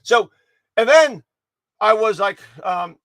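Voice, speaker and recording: A man giving an energetic livestream pep talk to his viewers, his voice hoarse and slightly raspy, rambling with many restarts and self-corrections. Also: high-pitched, slow, dry recording. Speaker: motivational speaker giving a daily pep talk.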